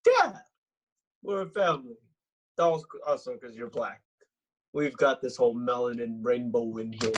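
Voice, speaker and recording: Guy doing flirty tipsy party dude, comedy read, husky and bouncy pitch. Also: animated, normal speed, pauses often, dull audio, lightly acted. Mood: tipsy playful. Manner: playful, bantering. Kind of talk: comedic table read acting.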